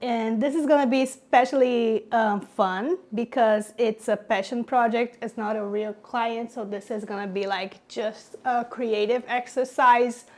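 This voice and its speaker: A woman's voice: fairly high pitch, fairly even intonation, unhurried, hardly any pauses. Chatty Brazilian woman vlogging. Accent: Brazilian Portuguese. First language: Portuguese. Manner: chatty, upbeat, many fillers and little laughs. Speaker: designer vlogger. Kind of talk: casual talk to camera while working.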